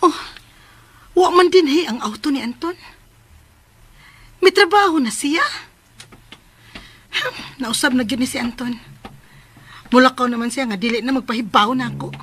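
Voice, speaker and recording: A woman's voice: sits high, normal speed, pauses often, fairly bright tone, slight background noise. A woman playing a fretful, suspicious character in a Filipino radio drama, emphatic and complaining, with a Filipino accent. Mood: fretful, puzzled.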